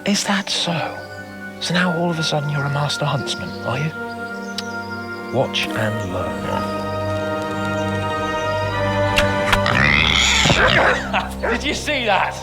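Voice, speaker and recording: A man's voice: a little high, sing-song, measured pace, long pauses, loud music behind the voice. An actor playing an arrogant, hot-tempered young nobleman in a video game, with a haughty, scornful, exclamatory manner. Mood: boastful, excited. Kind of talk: video game dramatic voice acting.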